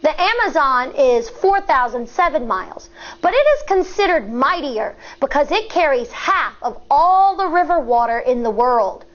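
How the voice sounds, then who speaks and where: An American woman, a schoolteacher reading a children's book aloud in a deliberate, instructive way, explaining as she goes. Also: high voice, animated, dull audio.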